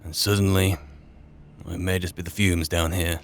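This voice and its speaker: A man improvising in-character roleplay in a fantasy game, musing aloud in a halting way with pauses. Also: deep voice, little pitch movement, a little hiss or hum. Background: game music.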